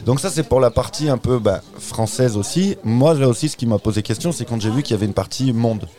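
A man's voice: mid-range voice, expressive, fairly quick, mellow. A man interviewing casually, restating his guests' answers in a tentative, conversational way. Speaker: interviewer, host.

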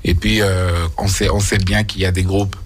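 A man from Angola, a native Portuguese speaker, talks as a guest singer on the radio. His voice is very low and husky. He pauses often and leans on fillers. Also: flat delivery. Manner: hesitant, many fillers. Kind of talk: radio interview answers.

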